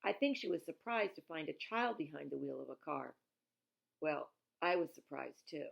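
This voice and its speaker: A woman's voice: low-pitched, animated, moderate pace, vocal fry, highs cut off. A woman reading a children's novel aloud expressively, in the manner of a teacher who explains things plainly. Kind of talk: expressive read-aloud storytelling with informal asides.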